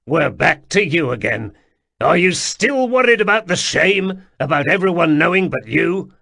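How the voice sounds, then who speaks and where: A man's voice: a little high, expressive, moderate pace, creaky, pushed and bright, voice pushed up, full character voice. A man voicing a bitter, hardened warrior who speaks in a curt, scornful way.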